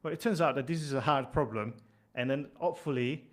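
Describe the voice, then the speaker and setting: A man's voice: medium pitch, brisk. A man giving a casual online technical explanation, with fillers and repeated words. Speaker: trainer.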